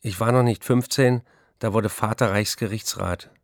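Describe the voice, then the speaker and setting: A man acting as a thoughtful writer looking back on his boyhood in a radio play. The voice is fairly low and recorded close and dry, the phrasing measured and literary. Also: fairly even intonation, no room sound, lightly acted. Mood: reflective. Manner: measured, literary first-person recollection. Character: reflective male writer recalling his bookish childhood.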